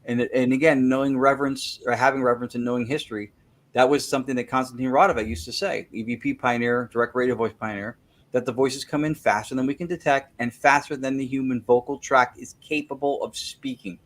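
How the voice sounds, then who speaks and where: A man's voice: mid-range voice, fairly even intonation, a little fast. A man as an interview guest, explaining a technical method in detail and repeating words as he thinks. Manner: self-correcting, repeats words while thinking. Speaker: guest, spirit-communication researcher.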